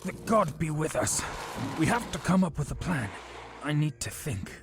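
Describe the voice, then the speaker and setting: Man acting animated, earnest fantasy commander. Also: a little high, moderate pace, over music. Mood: troubled. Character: dutiful male military commander, earnest and cautious. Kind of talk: fantasy audio drama acting.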